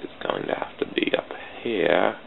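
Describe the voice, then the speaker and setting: A man with a British accent giving rambling, self-deprecating commentary to himself while drawing on a computer, recorded at a home desk on a cheap computer mic. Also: normal speed. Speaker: channel host drawing sprites. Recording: tinny phone-like lo-fi mic with faint hiss.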